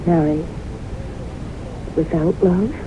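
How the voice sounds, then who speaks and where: An actress playing a reserved, haunted middle-aged secretary recounting her life in measured, grave radio drama style. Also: fairly low pitch. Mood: hesitant, taken aback. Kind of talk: 1970s radio drama acting, narration and dialogue. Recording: noisy muffled old radio broadcast recording.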